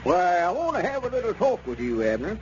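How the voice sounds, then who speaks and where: An actor playing a sly, unctuous small-town squire who talks in a hesitant, roundabout way in radio comedy. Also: male voice, sits high, huge pitch swings, brisk, darkish tone. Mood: genial. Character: wheedling small-town squire, sly real-estate dealer. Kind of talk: 1930s radio comedy acting. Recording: dull, noisy old radio recording.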